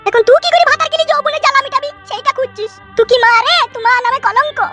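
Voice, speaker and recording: A performer voicing a fed-up eighteen-year-old village daughter in a comedy cartoon, with a rural West Bengal accent and a pitched-up voice. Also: fast, bright, forceful tone, soft background music. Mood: fed up, accusing.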